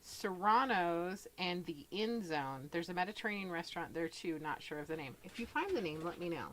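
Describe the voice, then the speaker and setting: A woman co-hosting a casual live chat, reading out viewer comments in a soft-spoken, laid-back way. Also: fairly low pitch, animated, brisk, slight background noise, low-quality recording.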